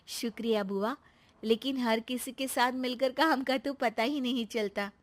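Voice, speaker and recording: A woman voicing a sweet, loyal young housewife in a family story, polite and earnest as she gently protests. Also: on the high side, a little slow, lightly acted. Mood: modest.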